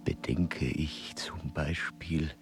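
Very slow, deep, frail old explorer. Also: male voice, steady tone, faint music behind, full character voice. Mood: solemn, insistent. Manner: halting, ponderous, pedantic. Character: frail old male explorer, earnest, obsessed with facts. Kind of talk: German radio play acting.

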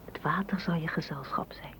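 An actress in a radio play playing a dreamy, tender woman gardener, speaking softly and poetically, often in a whisper. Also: deep voice, moderate pace, vocal fry. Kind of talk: radio drama acting. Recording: muffled, noisy old radio recording.

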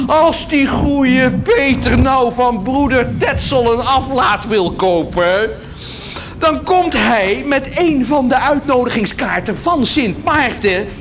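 An actor playing a wheedling monk who hawks indulgences with theatrical, cajoling patter in a radio drama. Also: male voice, extremely high pitch, big pitch swings, slow pace, noisy background, heavily acted. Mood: jovial, cajoling. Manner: theatrical, cajoling sales patter, punctuated with laughs. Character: wheedling monk huckster selling indulgences to peasants.

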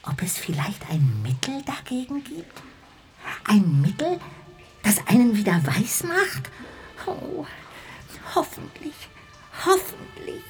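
A woman playing an anxious, childlike little ghost in a children's radio play, with expressive, lamenting exclamations. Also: a little high, slow, long pauses, exaggerated voice. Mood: worried, hopeful.

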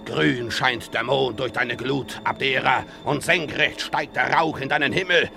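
An actor playing a savage, gleeful pirate captain in a radio play, gloating theatrically and relishing destruction. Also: male voice, mid-range voice, measured pace, vocal fry, over music. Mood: dark gloating. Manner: theatrical, gloating, swinging from murmur to bellow. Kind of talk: German radio play acting.

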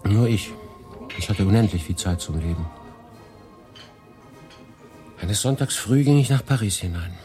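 A man's voice: lowish voice, animated, brisk, warm tone, lightly acted. An actor playing a weary, reflective refugee who looks back and narrates his story in a brooding, confiding way in a radio play. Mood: haunted, reflective. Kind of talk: dramatic first-person narration in a radio play. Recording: music behind the voice.

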